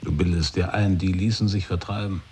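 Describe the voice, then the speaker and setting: A man's voice: low-pitched, steady tone, fairly quick, darkish tone, a little hiss or hum, natural voice. An actor in a radio play, playing a skeptical man who questions his companion in a dry, probing way. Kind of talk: 1980s radio play acting.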